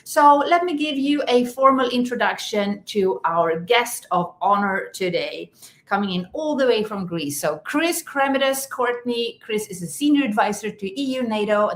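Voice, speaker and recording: A woman hosting a live stream podcast, welcoming her guest in an enthusiastic, effusive way. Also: mid-range voice. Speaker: podcast host. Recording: echoey room.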